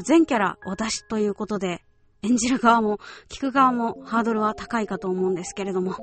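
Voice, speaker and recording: A woman with a medium-pitched voice hosts a solo radio programme. She explains things courteously and talks directly to her listeners. Faint music plays behind her. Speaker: radio show host, voice actress. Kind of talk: polite solo radio show presentation.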